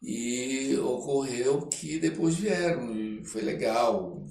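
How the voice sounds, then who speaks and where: An older man, reminiscing casually as an interview guest and often pausing to search for words. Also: medium pitch, creaky, a little mellow. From Belém, Pará, Brazil. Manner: halting, searching for words, frequent check-in tags. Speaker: podcast guest, theatre artist. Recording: roomy echo, off-mic, faint background noise.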